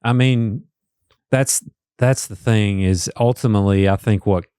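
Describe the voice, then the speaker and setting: A man's voice: lowish voice, measured pace, mellow, clear, resonant tone, no echo, studio quality. Wry Appalachian man, true-crime talk. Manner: wry, joking asides. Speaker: podcast co-host. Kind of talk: casual true-crime discussion.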